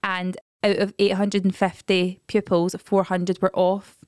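Brief: raspy-voiced woman, chatty podcast co-host, dry studio sound. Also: mid-range voice, completely flat delivery, darkish tone, no echo. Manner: chatty, storytelling, quick asides. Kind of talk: casual chat. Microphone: studio microphone.

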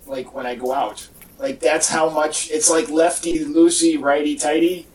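A man's voice: fairly high pitch, steady tone, a little slow. A man hosting a podcast in casual, rambling chat with a wry, joking manner. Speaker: podcast host. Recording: distant mic, echoey in parts.

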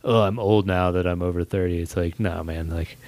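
A man's voice: deep voice, fairly even intonation, brisk, darkish tone, dry recording. A man in his thirties chatting casually as host, rambling and correcting himself as he goes. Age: 30s. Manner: rambling, hedging, self-correcting. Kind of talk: casual chat.

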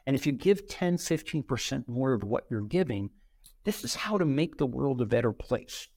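A middle-aged man, a podcast guest, telling career stories in an animated way and acting out quoted dialogue. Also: medium pitch, moderate pace, no room sound. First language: bilingual, Brazilian parents. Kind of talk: conversational interview storytelling.

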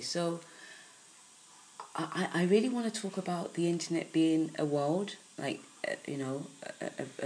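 A woman with a croaky early-morning voice giving a soft, unhurried spiritual podcast talk, with many pauses and fillers. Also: low-pitched, slight background noise, poor audio.

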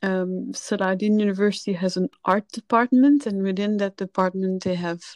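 A woman's voice: mid-range voice, steady tone, normal speed, a little mellow, no echo, studio quality. A woman in her thirties from the Netherlands, a filmmaker guest telling her story candidly and reflectively in a casual podcast chat.

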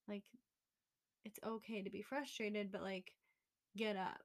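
A woman's voice: medium pitch, level tone, unhurried. A young woman in her twenties co-hosting a casual podcast chat, telling a personal story in a candid, rambling way with many fillers. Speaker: podcast co-host.

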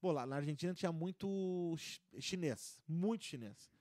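Podcast guest, man, rambling anecdotes, slightly high voice, dry sound. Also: normal speed, a little mellow, dry recording. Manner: rambling storyteller with many restarts and fillers. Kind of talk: casual chat.

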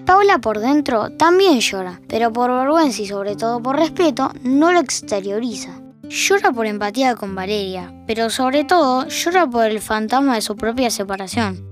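A woman's voice: fairly high pitch, lively intonation, moderate pace, soft background music. A woman with a Rioplatense Argentine accent reading scripted narration for an audio fiction.

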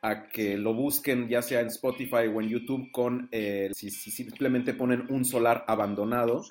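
A man's voice: medium pitch, steady tone, normal speed, darkish tone, soft background music. A man interviewing an author, asking long, formal questions with "eh" and "mm" fillers. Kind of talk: radio interview.

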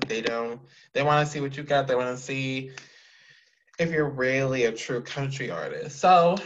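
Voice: mid-range voice, expressive, moderate pace, noisy background. A host interviewing a singer, enthusiastic and repeating phrases, heard over dull lo-fi call audio. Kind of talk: casual interview.

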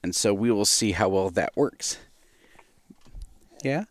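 A man hosting a casual bedtime story show for a baby, with gentle child-directed asides, speaking into a headset mic in a studio. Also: fairly low pitch, expressive, takes it slowly, no echo. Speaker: host reading stories to a baby.

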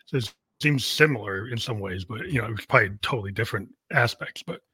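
A man's voice: fairly low pitch, fast, pushed and bright, breathy edge, no echo. A man hosting an interview as a music producer, talking in an earnest, reflective way. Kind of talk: casual interview chat.

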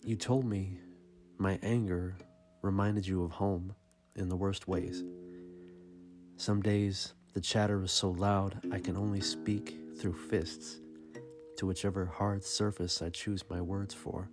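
A man giving a dramatic, scripted reading of poetry in a somber, measured and deliberate manner. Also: low-pitched, flat delivery, music in the background. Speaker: podcast host reading poetry.

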